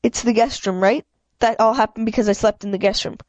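A woman's voice: on the high side, steady tone, a little fast, a little mellow, dry recording, natural voice. A woman voicing a scared, defiant fourteen-year-old boy in a storybook, stammering and protesting. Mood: desperate.

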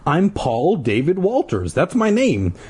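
A man's voice: mid-range voice, big pitch swings, normal speed, warm tone, slightly rough, pitched above the performer's own voice, heavily acted. A man voicing a confused, apologetic young boy from the 1930s in a storybook, protesting and stammering. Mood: insistent.

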